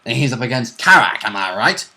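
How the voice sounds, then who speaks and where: A man's voice: mid-range voice, very animated, fairly quick, sharp tone, a little hiss or hum. A man co-hosting a tabletop role-play show, chatting casually and commenting on the game.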